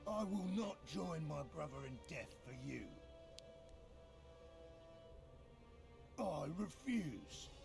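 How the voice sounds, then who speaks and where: Gravelly, rebellious brute henchman. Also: a little high, expressive, measured pace, over music, heavily acted. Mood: defiant. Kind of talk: video game creature acting.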